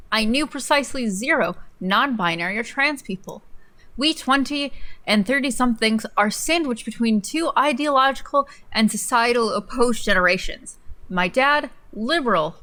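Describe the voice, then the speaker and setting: A woman's voice: fairly high pitch, pushed and bright. A young woman co-hosting a livestream from a room indoors, giving a dramatic reading of an article. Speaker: livestream co-host.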